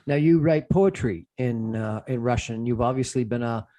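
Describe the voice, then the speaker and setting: Guy hosting a radio interview, average pitch, conversational, bit halting when he asks stuff. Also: dark tone.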